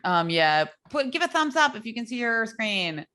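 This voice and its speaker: A woman's voice: on the high side, sing-song, moderate pace, sharp tone. A woman runs an online chess class from her end of a video call. She speaks in an encouraging, organizing way, praising the students and moving the session along. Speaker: chess club host.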